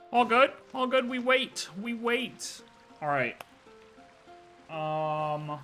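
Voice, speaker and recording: Bright-voiced man chatting on a livestream. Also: fairly high pitch. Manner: thinks aloud in slangy, offhand asides. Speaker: livestreamer playing a video game. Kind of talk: casual livestream chat.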